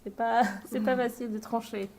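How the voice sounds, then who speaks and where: A woman student, a native Arabic speaker, answering an interview question in a halting way. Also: a little high, steady tone, a little mellow. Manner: halting, many restarts and fillers. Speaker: student guest.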